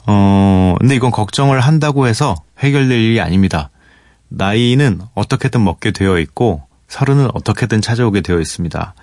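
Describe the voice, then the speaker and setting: Man, radio host, low and unhurried, dry studio sound, thoughtful late-night talk. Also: no echo. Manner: relaxed, thoughtful, with pauses and fillers. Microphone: studio microphone.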